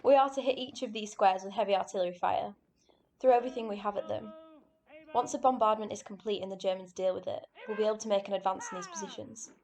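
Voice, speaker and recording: A woman's voice: medium pitch, quick pace, darkish tone, natural voice. A woman acting a military strategist in a wartime radio drama, giving an authoritative, instructional briefing. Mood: businesslike. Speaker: actor in a student radio drama.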